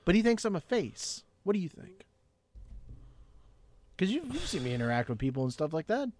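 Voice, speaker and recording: Excitable man with a lively, higher voice. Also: brisk, slight background noise. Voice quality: fairly high voice. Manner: excitable, rambling, talks in bursts. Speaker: podcast co-host. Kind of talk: casual podcast banter.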